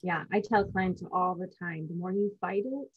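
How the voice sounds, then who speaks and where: A woman who works as a speech-language pathologist, explaining her therapy approach in a relaxed conversation and working through examples of what she asks her clients. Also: on the low side, normal speed, husky, highs cut off. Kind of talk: casual professional conversation.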